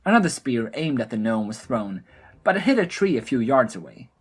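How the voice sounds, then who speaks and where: A woman's voice: extremely low pitch, big pitch swings, a little fast, breathy edge. A woman narrating a scripted fantasy audio drama as its storyteller.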